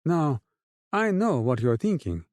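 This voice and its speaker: A young, oily drug lord with a Spanish accent addresses his men like an orator, as a male narrator puts him on. The voice sits raised and swoops widely, with a mellow tone. The recording is close and dry. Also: a little high, sing-song, measured pace, no echo, voice pushed up. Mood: rousing. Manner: oratorical, falsely friendly.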